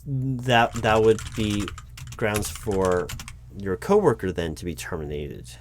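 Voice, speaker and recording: A man playing a job interviewer in an ASMR roleplay, patient but baffled, with a dry, halting delivery full of restarts. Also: on the low side, lively intonation, slight background noise. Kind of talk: ASMR roleplay, spoken aloud, not whispered.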